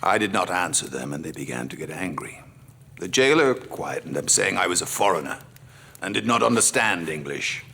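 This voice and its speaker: Very deep, gravelly gentleman memoirist. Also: sing-song, moderate pace, heavily acted. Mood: dramatic, weary. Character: worldly, wry old-world gentleman recounting his memoirs. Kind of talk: dramatic first-person memoir narration.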